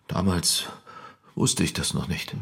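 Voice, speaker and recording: A man's voice: low-pitched, steady tone, slow, vocal fry, fairly bright tone, full character voice. An actor playing an evasive, troubled married man under questioning in a radio drama, answering tersely and defensively. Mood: subdued, evasive. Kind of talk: German radio drama acting.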